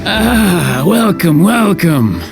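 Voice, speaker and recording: Humble old carpenter from a video game, friendly and a bit hesitant, slightly high voice, music behind. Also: male voice, sing-song, extremely slow, gravelly, heavily acted. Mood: welcoming. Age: older. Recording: background music.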